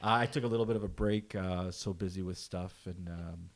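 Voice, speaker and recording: Very deep-voiced male podcast host. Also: steady tone, a little fast. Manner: friendly, loose questions with fillers. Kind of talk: casual interview chat.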